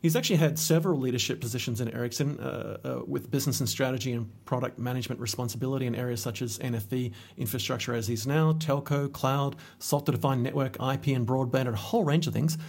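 Fast-talking husky-voiced male podcast host. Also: mid-range voice, fairly even intonation, a little mellow. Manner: enthusiastic, chatty, many fillers. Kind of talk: casual interview chat.

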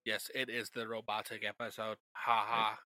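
A man voicing a stilted robot character in a flat, deadpan monotone for a comic bit. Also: fairly low pitch, moderate pace, no room sound, pitched below the performer's own voice. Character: stilted monotone robot telling binary jokes.

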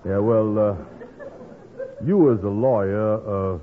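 An actor playing a gullible, soft-hearted, slow-witted man who talks in folksy ungrammatical dialect, in 1940s radio comedy style. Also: fairly low pitch. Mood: puzzled. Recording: muffled, tinny vintage radio broadcast.

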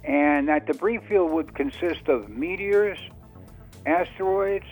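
A man speaking as a radio interview guest, unscripted and halting, often repeating himself as he searches for words. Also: a little high, faint music behind.

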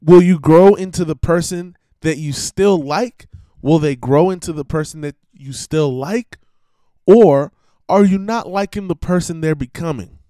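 A slightly hoarse man giving an emphatic, preachy monologue with swearing and lots of repetition. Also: fairly high pitch, dark tone, no echo, clean studio sound. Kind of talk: casual monologue rant.

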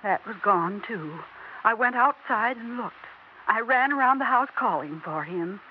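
A woman's voice: fairly high pitch, animated, unhurried. An American actress playing a fragile, deluded middle-aged widowed mother in a theatrical, emotionally overwrought 1960s radio drama style. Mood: anxious, haunted. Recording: muffled, noisy 1960s radio recording.

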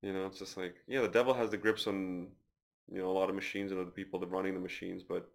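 A man's voice: deep voice, level tone, fast talker, creaky. A man hosting a casual podcast, talking in a loose, rambling way with crude jokes. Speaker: podcast host.

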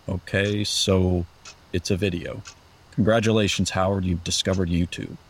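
An actor plays a depressed young man in a horror audio drama, very low and creaky in voice. He is terse, dry and skeptical, with some faint noise behind him. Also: steady tone, moderate pace. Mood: dry sarcasm.

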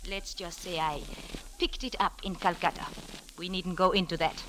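An actress playing a scheming, self-assured young femme fatale in a poised, theatrical 1940s radio drama style. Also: female voice, on the low side, fairly even intonation, moderate pace, lightly acted. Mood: smug, guarded. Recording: noisy, hissy vintage radio recording.

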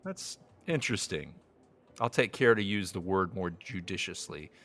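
An actor playing an anxious, self-deprecating single man in naturalistic audio drama, wry and halting. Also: low-pitched, animated, fairly bright tone. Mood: awkward, polite.

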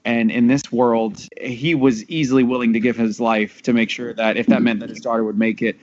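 A man's voice: medium pitch, little pitch movement, fairly quick. Earnest man in dull, lo-fi audio. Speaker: audio drama cast member. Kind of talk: casual panel discussion. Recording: dull lo-fi sound.

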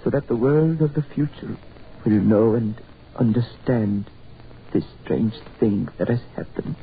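An actor playing a solemn, resigned man with halting, grave delivery in a 1940s radio drama. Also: medium pitch, creaky, husky, full character voice. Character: solemn, resigned man stranded in prehistoric past. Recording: tinny, hissy old radio recording.

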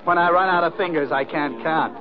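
A man's voice: on the high side, brisk, music in the background, natural voice. A man acting a wealthy, self-mocking party host in 1940s radio drama style. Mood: genial, droll. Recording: dull old radio recording, party crowd.

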